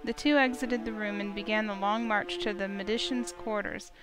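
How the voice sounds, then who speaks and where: A woman's voice: mid-range voice, fairly even intonation, normal speed, over music. A woman reading scripted story narration as the narrator of a visual novel.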